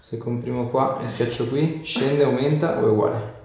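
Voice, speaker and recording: A man's voice: medium pitch, steady tone, moderate pace, a little mellow. A man, working as a chiropractor, giving short encouraging instructions and questions while chatting casually with a patient. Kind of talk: casual hands-on treatment chat with a patient. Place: small bare treatment room, indoors. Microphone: camera a few steps away. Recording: very echoey room, distant mic, light noise.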